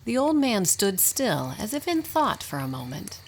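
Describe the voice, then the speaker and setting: A woman narrating a scripted radio drama in an expressive storybook style. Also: medium pitch, moderate pace, poor audio.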